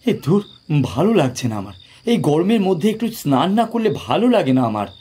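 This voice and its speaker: Man playing a very husky, animated young guy. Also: a little high, moderate pace. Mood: restless, eager. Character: young man, Raktim, blunt, practical friend on a night trip. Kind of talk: audio drama dialogue in a Bengali ghost story.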